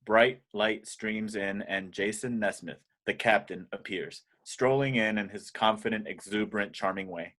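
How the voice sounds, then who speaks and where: A man's voice: fairly low pitch, normal speed, hardly any pauses, a bit bright. A man reading the action descriptions of a screenplay as scripted narration in an amateur table read. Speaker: reader of stage directions.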